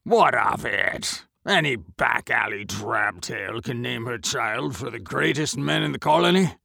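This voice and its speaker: A man voicing a sickly, sneering rich old merchant in a storybook reading, sharp and scornful in tone. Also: mid-range voice, expressive, measured pace, vocal fry, breathy edge, pitched above the performer's own voice, heavily acted. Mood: contemptuous. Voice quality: sharp and scornful, sly at the end.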